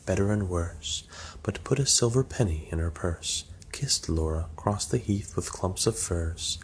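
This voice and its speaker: A man's voice: low-pitched, darkish tone. A man narrating a poem aloud as an ASMR reading. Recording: clear background noise.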